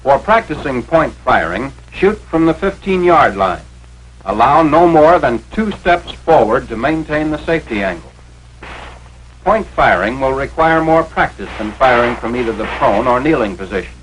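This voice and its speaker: An American man with a mid-pitched voice narrates in the manner of a 1940s military training film. His delivery is authoritative and instructional, with clipped, formal phrasing. The vintage soundtrack carries a light layer of noise. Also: big pitch swings, normal speed, a bit bright, poor audio.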